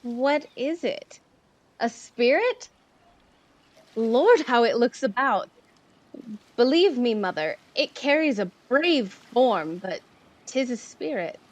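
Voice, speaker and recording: An actress playing an innocent, wonderstruck young maiden, quick to love, in a Shakespearean audio drama. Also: female voice, on the high side, sing-song, full character voice.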